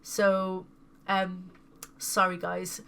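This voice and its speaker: A woman's voice: on the low side, monotone, extremely slow, creaky, a bit bright, clean tone. A woman casually showing off her new video game pickups, sounding congested from a cold and repeating words with enthusiasm. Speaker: gaming video host.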